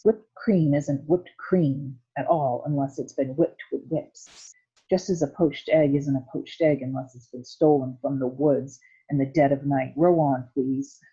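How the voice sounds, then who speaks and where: A reader voicing an eccentric, excitable male chocolatier who shouts and plays with words. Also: medium pitch, a little slow, husky, lo-fi sound. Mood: playful. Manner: giddy, shouting, rhyming wordplay.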